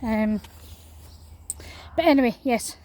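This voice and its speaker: A Scottish woman vlogging as she walks along an outdoor path in a small town. Her voice is croaky, and her talk is full of fillers and restarts. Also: fairly high pitch, slow, darkish tone. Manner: hesitant, many fillers and restarts. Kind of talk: walking vlog chat.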